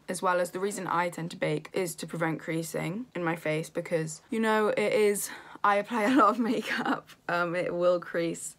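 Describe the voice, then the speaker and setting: A woman with a croaky voice giving a chatty makeup tutorial in her bedroom, full of "literally" and "like". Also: on the low side. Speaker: makeup vlogger. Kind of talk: casual beauty tutorial.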